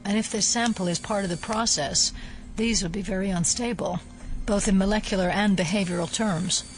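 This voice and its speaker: A woman playing a serious, analytical FBI agent and scientist in an audio drama, clinical and measured. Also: medium pitch, steady tone, soft background music, natural voice, rough recording.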